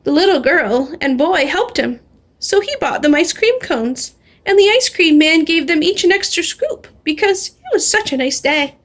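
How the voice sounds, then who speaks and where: A woman with a high voice reads a children's storybook aloud. Her delivery is animated and expressive, shaped for young listeners. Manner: animated, drawing out refrains slowly. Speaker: storybook reader. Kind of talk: expressive read-aloud of a children's picture book.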